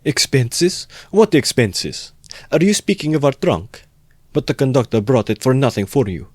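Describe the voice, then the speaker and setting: A man's voice: lowish voice, fast talker, a little mellow, no room sound, natural voice. A man voicing an indignant, fiercely protective older mother in an audiobook, with exclamatory, scolding questions. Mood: furious, scornful.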